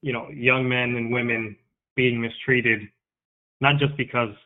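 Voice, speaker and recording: A man's voice: medium pitch, steady tone. Earnest man on a tinny line. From New York. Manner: earnest, halting, emotional. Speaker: interview guest. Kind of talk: candid interview talk.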